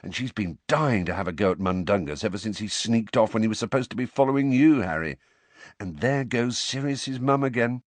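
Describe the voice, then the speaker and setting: A man's voice: on the low side, animated, fairly quick, no room sound. A man voicing a chatty, knowing teenage boy, in an audiobook.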